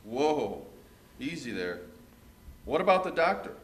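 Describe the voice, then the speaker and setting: A man's voice: medium pitch, very animated, unhurried. A man playing a plain-spoken, practical marshal in an old-time Western radio play, using folksy cowboy phrasing. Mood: puzzled, practical.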